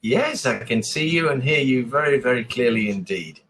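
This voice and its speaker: A man's voice: medium pitch, animated, moderate pace, fairly bright tone. Encouraging English male tutor. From England. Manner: encouraging, repeats and rephrases his advice. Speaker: online English conversation tutor. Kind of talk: casual one-to-one lesson chat. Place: online video call.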